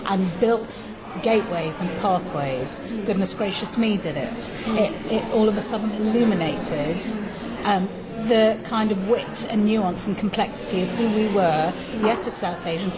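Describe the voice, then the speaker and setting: A woman's voice: medium pitch, moderate pace, over a noisy crowd, rough recording. A middle-aged woman from East Africa with a British accent, giving long, reflective interview answers as an actor. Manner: articulate, reflective, builds long points.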